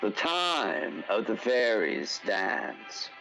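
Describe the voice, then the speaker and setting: A man narrating a fantasy tale in radio-theatre style, grandiose and deliberate, with long pauses. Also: fairly low pitch, very animated, gravelly, bright, forceful tone, low-quality recording. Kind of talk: dramatic scripted fantasy storytelling, old radio theatre. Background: music under the narration.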